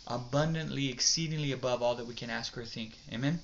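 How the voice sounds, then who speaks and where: A man's voice: medium pitch, quick pace, creaky. A man pastor speaking earnestly with preacherly emphasis in a talk show. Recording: dull lo-fi sound with faint noise.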